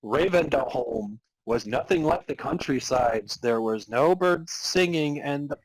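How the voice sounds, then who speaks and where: Husky man narrating in mock-serious tones. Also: medium pitch, animated, measured pace, no room sound, low-quality recording. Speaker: podcast host reading as narrator. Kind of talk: dramatic reading of amateur fan fiction.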